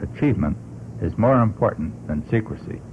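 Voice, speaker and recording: A man on a radio panel formally and deliberately reading a prepared statement aloud, on an old broadcast. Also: lowish voice, expressive. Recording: narrow tinny vintage radio, faint noise.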